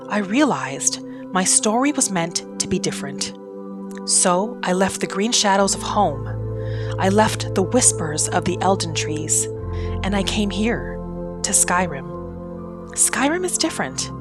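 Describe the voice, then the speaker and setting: A woman voicing a wistful, hopeful female wood elf exile, telling her story in measured, lyrical fantasy narration. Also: medium pitch, natural voice. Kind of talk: scripted first-person fantasy narration. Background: new-age music.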